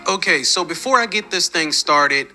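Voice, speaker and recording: A man in his late thirties making a gaming video, speaking to viewers in a relaxed, conversational way. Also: on the high side, moderate pace, loud music behind the voice. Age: 30s.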